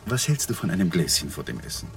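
Long-suffering gentle husband, deep-toned, conciliatory, somewhat distant, music behind, radio play. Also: male voice, very animated, fast, creaky, very noisy, full character voice. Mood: placating, tender. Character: placating, affectionate husband, mild and long-suffering lawyer. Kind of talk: German radio play dialogue.

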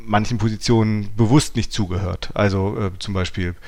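A man's voice: on the low side, fairly even intonation, moderate pace, darkish tone, no echo. A man co-hosting a podcast in conversational discussion, with a questioning, probing manner. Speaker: podcast co-host.

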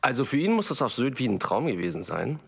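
A man's voice: mid-range voice, very animated, fast talker, vocal fry, noisy background. A man playing an impressed clinic visitor, chatting in a gushing, casual documentary interview style. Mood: impressed, wry.

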